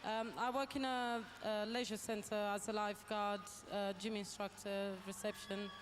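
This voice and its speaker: A woman's voice: medium pitch, level tone, moderate pace, a little hiss or hum. A woman from Romania, answering modestly with hesitations. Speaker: contender.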